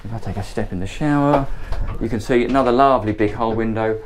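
A man's voice: on the low side, quick pace, a little hiss or hum. A man giving a chatty, ad-libbed walkthrough tour of a motor boat, filmed handheld on board at a marina. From England. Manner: chatty, enthusiastic, loosely ad-libbed. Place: on board a motor boat at a marina, moving between cabins and decks.

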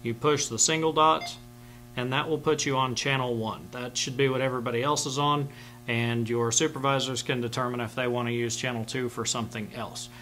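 Male instructor, middle-aged, medium pitch, plain-spoken and informal walk-through, faint hum behind the voice. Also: fairly even intonation, a little fast, fairly bright tone, rough recording. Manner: plain-spoken, step-by-step explaining. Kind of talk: informal instructional demonstration to camera. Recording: clear background hum and noise.

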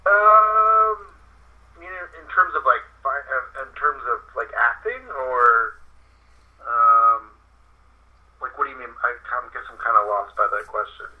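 North carolina guy chatting on a podcast, lots of "you know", mid pitch, sounds like a phone call. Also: measured pace. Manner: rambling, with many fillers and restarts. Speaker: guest actor. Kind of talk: casual interview chat. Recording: tinny phone line.

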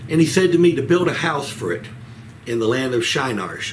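A man, teaching the Bible as a pastor in a measured, earnest way with frequent pauses, seated in a small room a little way from the mic. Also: mid-range voice, big pitch swings, low-quality recording. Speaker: pastor leading a Bible study. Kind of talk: Bible teaching, expository sermon. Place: small home office, indoors. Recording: clear background room noise.

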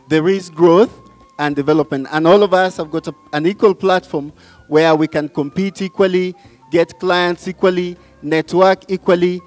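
A man giving a formal, measured speech at a podium microphone, stressing points by repetition. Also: a little high, darkish tone. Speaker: event speaker. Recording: echoey hall PA with music behind.

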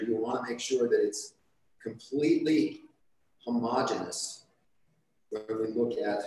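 Chef talking through a recipe in an echoey kitchen. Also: male voice, mid-range voice. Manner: relaxed, explanatory, chatty. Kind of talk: live cooking demonstration. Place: large studio kitchen set. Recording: echoey kitchen with light background noise.

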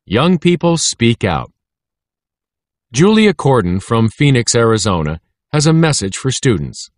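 American man narrating with lively intonation. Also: medium pitch, measured pace, no echo, clean studio sound. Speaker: audiobook narrator. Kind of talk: scripted educational read-aloud narration.

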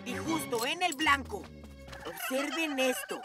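Cartoon boy ninja, Latin American Spanish: commanding little villain, high-pitched, showy, over a loud score. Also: expressive, unhurried. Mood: gloating. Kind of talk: animated cartoon dubbing. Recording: loud music behind.

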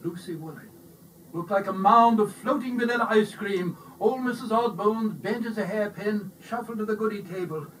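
Guy doing a kids read aloud, high bright voice, mic bit far away. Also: moderate pace. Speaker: storybook reader. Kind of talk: animated children's read-aloud narration. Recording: distant mic with faint noise.

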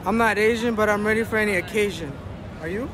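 A man's voice: sits high, quick pace. Joking young man over crowd noise. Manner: loud, joking, street slang. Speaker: vlogger's friend. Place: busy city square at night, outdoors. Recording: loud crowd and street noise.

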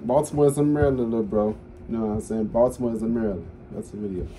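A man's voice: on the low side, racing, mellow, slight background noise. A young man hosting a reaction video from a small room, making wry casual asides and correcting people on camera. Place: small room indoors, slanted ceiling. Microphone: close webcam or phone.

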